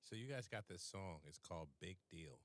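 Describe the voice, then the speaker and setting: A man hosting a casual music interview, relaxed and quick, laughing often. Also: sits low, no room sound. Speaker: podcast host.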